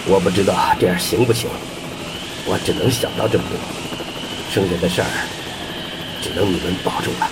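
A man's voice: deep voice, a little fast, pauses often, very noisy. A man playing a commanding but caring space mission leader in an audio drama, urgent and earnest. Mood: earnest, solemn.

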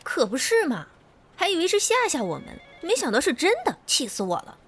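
A woman's voice: high voice, huge pitch swings, a little fast, soft background music, heavily acted. A woman playing an indignant, gossipy office colleague who grumbles and exclaims in an amateur radio drama. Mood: annoyed, grumbling.

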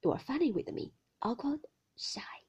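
A woman reading a novel in a breathy whisper, voicing the lines of a troubled, guarded older husband. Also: high voice, big pitch swings, normal speed, darkish tone, muffled recording, voice pushed up, exaggerated voice. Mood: hurt.

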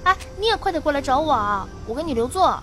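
A sensible schoolgirl who nags and teases in an audio drama. The voice is high, bright and very lively, with light music behind it. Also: fast talker. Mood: brisk. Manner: scolding, teasing. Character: nagging, sensible teenage schoolgirl best friend. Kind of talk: Chinese audio drama acting.

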